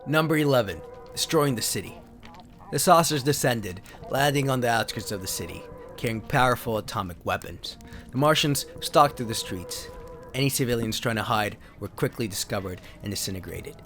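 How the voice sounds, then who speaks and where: A man's voice: medium pitch, animated, moderate pace, fairly bright tone, faint music behind. A man giving a theatrical, solemn dramatic reading of pulp science fiction stories, as a narrator. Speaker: narrator and host. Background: sound effects and ambience.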